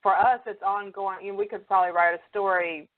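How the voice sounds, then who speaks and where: A woman's voice: mid-range voice, little pitch movement, brisk. A woman co-hosting a podcast, chatting casually with a guest. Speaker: podcast co-host. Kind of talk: casual chat. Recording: narrow phone-like audio.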